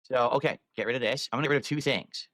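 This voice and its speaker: A man's voice: mid-range voice, racing, a bit bright, dry recording, studio quality. A man live-streaming, rambling while he fiddles with his microphone and audio settings.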